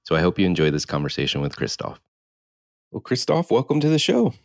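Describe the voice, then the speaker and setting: A man with a very deep voice hosts a marketing interview podcast, chatting in a friendly, easy way. He is close to the mic in a dry studio with no room sound. He laughs easily with his guest. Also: wildly swooping pitch, a little fast, gravelly, dry recording. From United States. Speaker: podcast host.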